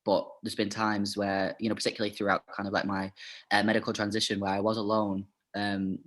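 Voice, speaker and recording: Fast-talking low-voiced guest. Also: male voice, monotone, a bit bright. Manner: chatty, lots of fillers and "like". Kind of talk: casual interview chat.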